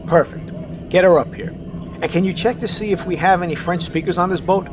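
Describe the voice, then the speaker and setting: An actor playing a tired, decisive submarine captain who gives calm, brisk orders in an audio drama. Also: male voice, high voice, music in the background, close to an everyday voice. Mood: calm command.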